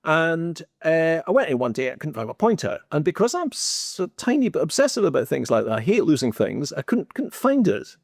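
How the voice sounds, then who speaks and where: A man's voice: on the high side, brisk, gravelly, hardly any pauses, no room sound, clean studio sound. A man with a Scottish accent co-hosting a podcast, telling wry stories from his teaching days in a casual chat. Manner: animated, wry, teasing. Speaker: podcast co-host, former teacher.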